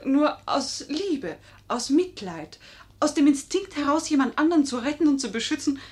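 An actress in a 1950s German radio play playing a charming, wily lady who pleads and flatters with theatrical emotion. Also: sits high. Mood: impassioned. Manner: theatrical, emotive, dramatically drawn-out emphasis.

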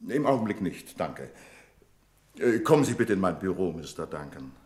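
An actor in a radio play playing a sardonic, imperious boss with a clipped, condescending manner and dry wit. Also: male voice, deep voice, sing-song, moderate pace, darkish tone. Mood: brisk.

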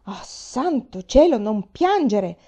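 A woman voicing a big, hot-tempered, bullying older man in an audiobook, booming and firing off blunt questions. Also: a little fast, warm tone, natural voice. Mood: angry, impatient. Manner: blustering, interrogating, exclamatory. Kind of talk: audiobook character voice.

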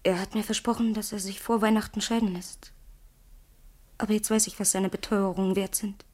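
An actress playing a bitter, defiant young model who has been jilted, in a 1980s German radio crime drama. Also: female voice, medium pitch, steady tone, fairly quick, a little mellow, natural voice. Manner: snippy, defensive.

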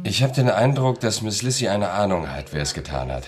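Lebhafter, ironischer Gentleman-Ermittler, eher tief. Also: lowish voice, sing-song, a little fast, over music. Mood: wry. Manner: ironic, courteous. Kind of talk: German radio play acting.

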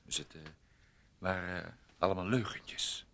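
A man's voice: deep voice, normal speed, a bit bright. An actor in a radio play playing a jealous, possessive man who is scornful, clinging and taunting. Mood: subdued, wary. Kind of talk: Dutch radio play acting.

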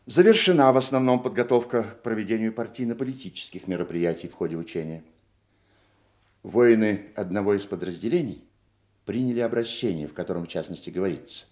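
Low, solemn military political officer played by a man. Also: darkish tone, lightly acted. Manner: formal, declamatory. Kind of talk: Soviet radio play acting.

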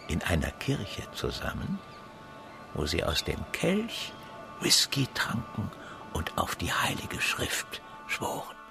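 Taunting interrogator, swooping intonation, sly storytelling, menacing, radio drama, music underneath. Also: male voice, deep voice, creaky, exaggerated voice. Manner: sly, mocking, drawn-out storytelling. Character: menacing, taunting man of authority grilling a boy. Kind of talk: German radio drama acting.

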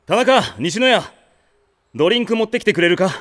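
An actor playing a steady but exasperated teenage team captain in an anime audio drama. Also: male voice, high voice, fairly quick.

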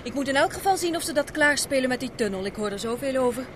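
A woman's voice: high-pitched, quick pace. Spirited young woman in a noisy radio drama. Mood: chatty, curious. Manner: lively, inquisitive. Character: spirited, teasing young fiancée, daughter of expedition leader. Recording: noisy old radio play recording.

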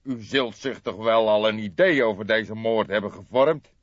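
A man's voice: lowish voice, normal speed, no room sound, muffled recording, pitched above the performer's own voice, natural voice. A man voicing a brash, impatient man in his thirties with a raw, growling voice, in an audiobook detective story. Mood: probing, impatient. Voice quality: raw, raspy.

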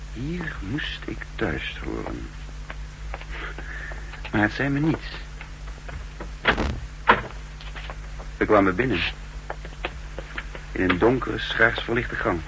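A man's voice: lowish voice, very animated, slow pace, vocal fry, long pauses, heavily acted. An actor from the playing a brooding, wary man with no memory who narrates his own story in a 1960s radio drama. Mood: ominous, wary. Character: amnesiac escaped prisoner recounting his story, brooding and wary. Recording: hissy, humming 1960s radio recording.

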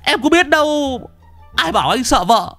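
Storybook figure: a whiny, panicky chubby young man, voiced by a male narrator, shrill and high. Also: sing-song, moderate pace, vocal fry, a bit bright, dry recording, soft background music, full character voice. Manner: shrill, whining, wailing. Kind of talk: storybook character voice.

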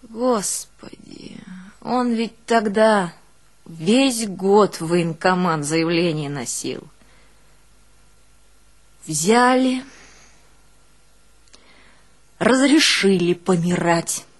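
An actress playing a long-suffering village mother, telling her hardships in a plaintive, colloquial dramatic monologue for an audio play. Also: fairly low pitch. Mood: hushed sorrow. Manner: plaintive, colloquial, unhurried, swinging between hushed and keening. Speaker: stage actress in a one-woman audio play.

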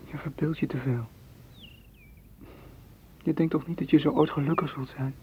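A man's voice: fairly high pitch, fairly even intonation, moderate pace, darkish tone, breathy edge. An actor playing a possessive, wooing man in a radio drama, pressing and reproachful in manner. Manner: insistent, reproachful. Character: possessive, wooing suitor seeking warmth and closeness. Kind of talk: literary radio drama acting. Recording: dull, noisy radio play recording.

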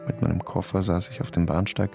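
A man narrating a first-person radio drama in a quiet, inward, understated way. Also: low voice, little pitch movement, fairly quick, gravelly, over music. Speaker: narrator and lead in a radio play.

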